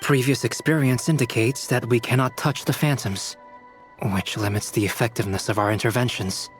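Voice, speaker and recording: An actor playing a composed, analytical young scholar in a fantasy game, speaking formally and precisely. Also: male voice, mid-range voice, steady tone, normal speed, close to an everyday voice. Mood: calm, analytical. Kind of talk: scripted game dialogue. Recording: music clearly under dialogue.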